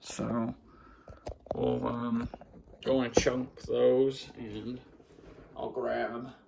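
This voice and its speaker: A man with a gravelly, creaky voice giving casual unscripted commentary, muttering to himself while he works with his hands. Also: mid-range voice, level tone, very slow, noisy background. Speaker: hobbyist vlogger showing a radio collection. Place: small indoor room at a cluttered desk.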